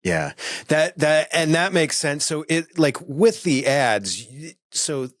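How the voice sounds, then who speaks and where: A man's voice: on the high side, expressive, slow, no echo, clean studio sound. A man hosting a podcast interview, friendly and affirming, with hesitant fillers. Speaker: podcast host. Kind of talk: casual interview chat.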